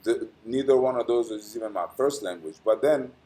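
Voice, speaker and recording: A man's voice: mid-range voice, a little fast, dark tone. A man hosting an astrology channel, reading a dense weekly forecast with frequent "uh" fillers and small stumbles, then chatting casually in a rambling sign-off. He pronounces English carefully, as a language he learned later in life.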